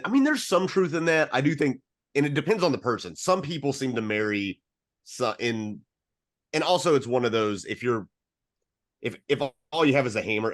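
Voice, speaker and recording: A man co-hosting a casual film discussion, talking in a wry, opinionated way with easy profanity. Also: on the low side. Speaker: film podcast co-host.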